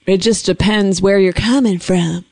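A man hosting a casual chat, teasing his guest with dry, deadpan jokes. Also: high voice, measured pace, vocal fry. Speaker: host.

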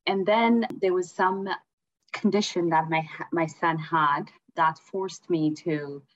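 A woman's voice: on the low side, slow. Woman on lo-fi call audio. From Ethiopia. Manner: hesitant, many ums and uhs, soft laughs. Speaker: guest, empowerment coach. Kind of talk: interview.

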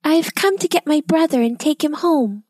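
A woman voicing a brave, kind young girl who talks politely and calmly. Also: high voice, normal speed, no echo, pitched above the performer's own voice. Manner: polite and calm.

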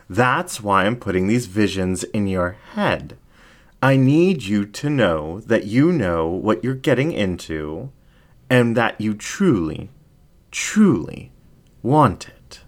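A man voicing a seductive, haughty fox incubus ringmaster in a story, with a drawn-out, theatrical, teasing delivery. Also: on the low side, big pitch swings, voice pushed up. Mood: ominous, coaxing.

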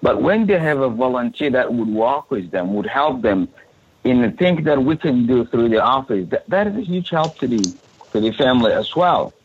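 A man from Sudan talks earnestly in a podcast interview, and his voice has a husky, breathy grain. He often stumbles and repeats a word before he goes on. The recording sounds dull and lo-fi. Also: mid-range voice, very animated, normal speed. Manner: earnest, repeats words when restarting. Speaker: refugee support services coordinator.

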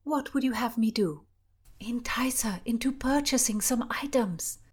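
A woman voicing a gentle, soft-spoken young court lady, often hushed. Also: fairly high pitch, unhurried, husky, pitched above the performer's own voice.

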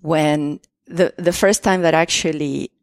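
A woman with an Argentine Spanish accent speaks in a low voice, recalling things in an unhurried interview chat. She hesitates and restarts her sentences often, with many fillers. The recording is dry and close. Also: steady tone, dry recording. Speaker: artist guest. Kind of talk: casual interview conversation.

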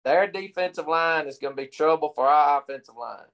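A man's voice: fairly high pitch, fairly quick, fairly bright tone. A man discussing football as a guest on a podcast, hedging before he gives his views. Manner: hesitant, hedging. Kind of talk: casual sports chat.

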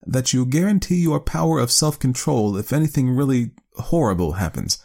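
A man voicing a polite, cautious young gentleman nephew in an audiobook story. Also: mid-range voice, lively intonation, moderate pace, vocal fry, voice pushed up. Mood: serious, cautious. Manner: polite, mildly hesitant. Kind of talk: audiobook character voice.

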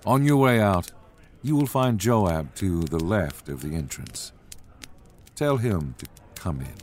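A man voicing a wise, stern old king in a storybook retelling, speaking slowly and deliberately. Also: low voice, animated, slight background noise. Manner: slow and deliberate.

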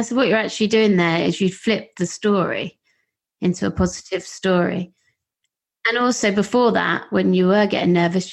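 A woman's voice: medium pitch, creaky, husky. A woman hosting a podcast, giving upbeat coaching advice. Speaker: podcast host.

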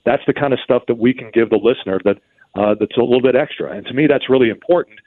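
A man's voice: medium pitch, fast. A man giving earnest, detailed interview answers as a baseball broadcaster, often stammering into restarts. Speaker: baseball radio play-by-play broadcaster, guest. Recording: thin phone-like line.

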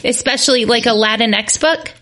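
A woman's voice: on the high side, moderate pace, sharp tone. A woman hosting an interview, upbeat and encouraging, laughing along with her guest. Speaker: podcast host.

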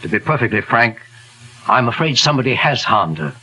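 An actor playing a calm, courteous male detective in a 1940s radio drama, asking polite, probing questions. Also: mid-range voice, brisk, slightly rough, exaggerated voice, low-quality recording. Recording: 1940s radio broadcast with music.

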